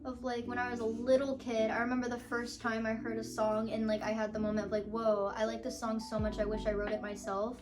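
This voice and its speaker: Brief: young woman songwriter, slightly high voice, rambly casual answers over guitar music. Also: completely flat delivery, a little fast, few pauses. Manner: rambling, full of "like" and little laughs. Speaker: songwriter answering fan questions. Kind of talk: casual chat. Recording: guitar music playing under the voice.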